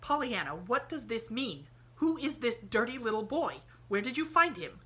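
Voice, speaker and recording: A woman's voice: fairly high pitch, big pitch swings, normal speed, pushed and bright, husky, pitched above the performer's own voice, exaggerated voice. A woman reading an audiobook, voicing a prim middle-aged aunt who speaks sharply and disapprovingly. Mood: stern.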